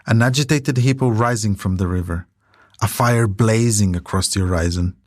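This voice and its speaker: A man's voice: lowish voice, moderate pace, a little mellow, dry recording, studio quality. A man hosting a podcast, narrating a scripted documentary.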